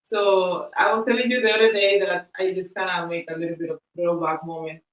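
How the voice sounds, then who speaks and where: A woman's voice: fairly low pitch, steady tone, fairly quick, roomy sound, far from the mic, highs cut off. A woman whose first language is Spanish, telling a story on a podcast in a chatty way, acting out the quoted exchanges. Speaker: podcast host.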